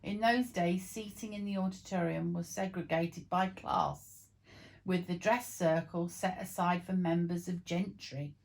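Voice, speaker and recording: A woman with a fairly low, distinctly creaky voice reads aloud from printed text. She sounds like an informal storyteller rather than a trained narrator, and she sometimes stumbles over words. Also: fairly even intonation, measured pace. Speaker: vlog co-presenter reading aloud.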